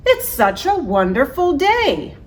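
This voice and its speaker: A chirpy, well-meaning jungle creature from a picture book, put on by a woman reader. The voice is high and sing-song, lilting widely as it urges cheer. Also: huge pitch swings, takes it slowly, heavily acted. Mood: cheerful concern.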